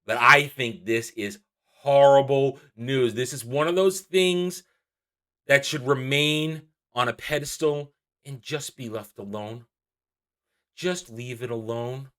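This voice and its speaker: A man hosts an opinionated commentary vlog in a medium-pitched voice. He sounds exasperated and emphatic, circling back over the same phrases. Key words get stretched out for weight. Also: a bit bright. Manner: exasperated, emphatic, repeats phrases with drawn-out stresses. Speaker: YouTube channel host.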